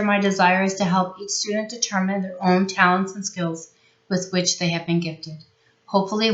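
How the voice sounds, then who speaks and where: A middle-aged woman teacher reads a measured, earnest acceptance statement in a fairly low voice. The sound is dull and lo-fi, with a roomy echo around her. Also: fairly bright tone. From New York State, US. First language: Italian. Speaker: high school Spanish teacher. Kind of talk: prepared award acceptance statement. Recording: echoey room, dull lo-fi sound.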